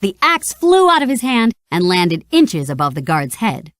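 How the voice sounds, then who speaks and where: A woman narrates a children's read-along storybook with expressive energy. Her voice moves up and down freely through each sentence. The recording is dry and close, with no room around it. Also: medium pitch, moderate pace, no echo. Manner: animated, expressive.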